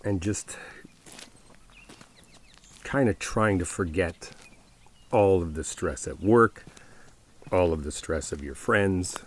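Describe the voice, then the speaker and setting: A man playing a caring, laid-back best friend in an ASMR roleplay, speaking conversationally with hesitant, reflective pauses. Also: low-pitched, a little hiss or hum. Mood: earnest, reassuring.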